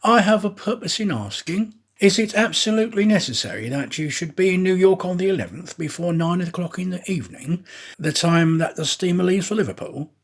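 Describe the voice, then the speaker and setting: A man voicing an intent, probing detective in an audiobook, with deliberate, pointed questions. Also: high voice, expressive, pitched above the performer's own voice. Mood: intent questioning. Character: intent, probing male detective pressing a proposal.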